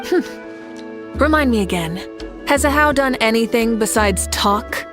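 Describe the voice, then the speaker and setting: A woman playing a composed, commanding leader in a fantasy game, speaking in a measured, assured way. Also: fairly high pitch, expressive, music in the background. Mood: composed authority. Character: composed, commanding adult woman leader, amused yet dutiful. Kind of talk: animated fantasy game acting.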